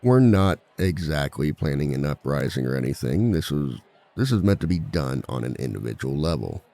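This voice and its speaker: A man playing a composed, dry-witted villain who teaches a seminar in a scripted ASMR roleplay, with a measured, polite authority and a gravelly voice. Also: very animated, dark tone, no echo, exaggerated voice. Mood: patient, dry. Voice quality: very deep, gravelly. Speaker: seminar instructor.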